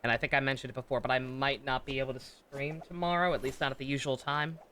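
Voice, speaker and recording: A man's voice: mid-range voice, steady tone, fast, pushed and bright, slight background noise. A man giving rambling, enthusiastic commentary as a casual game streamer.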